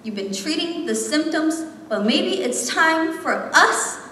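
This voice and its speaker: A woman's voice: high-pitched, animated, takes it slowly, bright, forceful tone. Young woman performing slam poetry, echoey hall. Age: young adult. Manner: impassioned, rhythmic, emphatic delivery. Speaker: slam poet. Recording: echoey hall, distant mic, faint noise.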